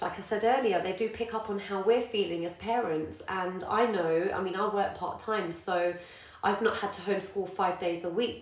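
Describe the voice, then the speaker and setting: A woman, a yoga teacher and parent chatting casually to live viewers with many ums. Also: mid-range voice. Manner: warm encouraging, many ums, greets viewers by name. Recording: echoey room.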